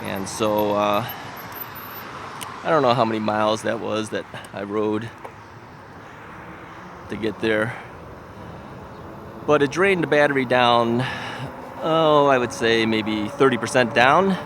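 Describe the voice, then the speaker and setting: A man's voice: medium pitch, slow pace, pauses often. Older guy chatting on his vlog, sniffly stuffy nose, rambles w lots of ums, traffic roaring behind him. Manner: rambling and chatty, with frequent fillers and soft laughs. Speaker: e-bike vlogger. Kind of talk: casual vlog chat. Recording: outdoor recording with loud traffic noise. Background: loud traffic and street noise.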